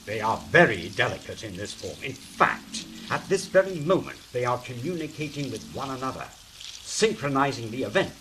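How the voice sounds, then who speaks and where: A man's voice: medium pitch, very animated, normal speed, close to an everyday voice. A man playing a scornful, commanding angel in an audio drama, declaiming sardonically over background hiss. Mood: brisk, grandiose. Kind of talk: stage-style audio drama acting.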